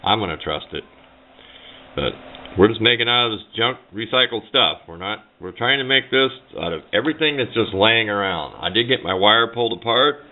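Low-voiced man, tinny narrow recording. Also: expressive, normal speed. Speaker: hobbyist demonstrating a homemade build. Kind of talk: casual unscripted how-to talk. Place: indoors at a workbench. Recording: muffled, narrow phone-like camera audio.

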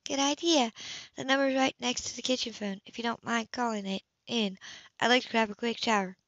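Higher-pitched romance heroine, read by a woman, muffled. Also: steady tone, fairly quick, a bit bright, no room sound. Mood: light, casual. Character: adult female lover in a romance, passionate. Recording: dull, muffled lo-fi home recording.

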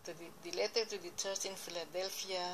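A woman reading Bible verses aloud and explaining them in a slow, deliberate way, now and then correcting herself, recorded on a phone pointed at a computer screen. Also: lowish voice, fairly even intonation, background noise. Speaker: lay preacher. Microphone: phone camera filming a computer screen.

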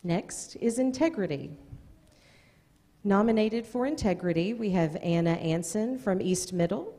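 A woman's voice: mid-range voice, lively intonation, a little slow, warm tone, slight background noise. A middle-aged American woman announcing award nominees at a public meeting from a podium, reading out names with informal asides. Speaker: school district presenter. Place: indoor meeting room at a podium. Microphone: gooseneck podium microphone. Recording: echoey meeting hall, long reverb.

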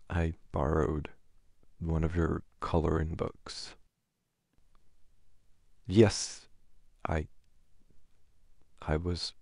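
Man acting a low, gravelly, flustered boyfriend. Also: darkish tone, dry recording, clean studio sound, full character voice. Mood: flustered, embarrassed. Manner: hesitant, halting, wordy. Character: flustered, sheepish, affectionate boyfriend. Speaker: audio roleplay performer. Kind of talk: ASMR boyfriend roleplay.

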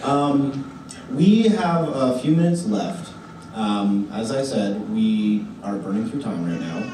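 A man's voice: on the low side, animated, slow pace, dark tone. Upbeat man in a very echoey hall. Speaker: convention panelist. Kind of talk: casual panel talk. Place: large convention hall room. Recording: echoey hall from far off, background noise.

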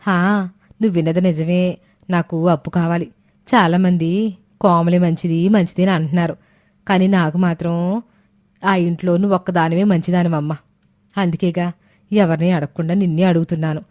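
Woman playing a sly village auntie, coaxing then snapping, lowish voice, dry close sound. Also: fairly even intonation, normal speed, long pauses, no echo, lightly acted. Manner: coaxing, then sharp and mocking. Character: scheming sweet-talking village woman, middle-aged. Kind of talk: Telugu storybook voice acting.

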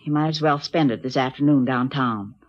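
An actress playing a gentle, reassuring middle-aged mother in a 1950s radio drama. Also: a bass voice, fairly quick. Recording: dull 1950s radio broadcast recording.

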